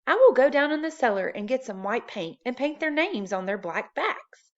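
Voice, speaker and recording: A woman's voice: high voice, very animated, moderate pace, pitched above the performer's own voice. A cheerful storybook husband, voiced by a woman reader, stubbornly optimistic and eager to reassure. The recording is a little dull and muffled.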